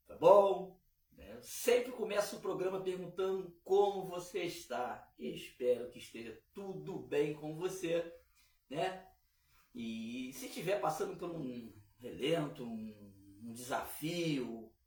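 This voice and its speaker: Hoarse man hosting gospel radio. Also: a little high. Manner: warm preacherly, many fillers and repeats. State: hoarse from a cold. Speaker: radio show host. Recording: echoey room, distant mic.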